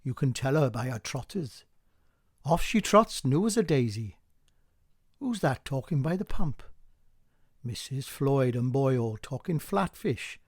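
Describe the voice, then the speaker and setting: A man's voice: mid-range voice, lively intonation, a little slow, pauses often, a little mellow, slightly rough, no room sound. Man reading a poetic play, very dry sound. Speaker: amateur reader and narrator. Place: dry home recording.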